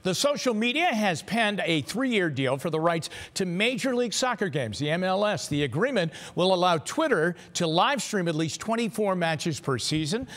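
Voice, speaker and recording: A man's voice: sits high, sing-song, normal speed, hardly any pauses, fairly bright tone. A man with an American accent reading scripted news as a TV anchor in a studio.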